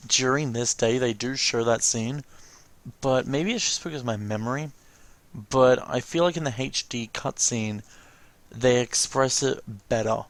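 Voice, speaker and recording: A man with a medium-pitched voice hosts a watch-along. He talks casually, reacting and commenting as he goes. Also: dry recording. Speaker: host of a let's watch video.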